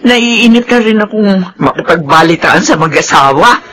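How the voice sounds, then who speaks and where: An actress playing a caring, concerned wife in a Filipino radio drama, musing and homely in manner. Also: female voice, fairly low pitch, animated, normal speed, a bit bright, faint music behind.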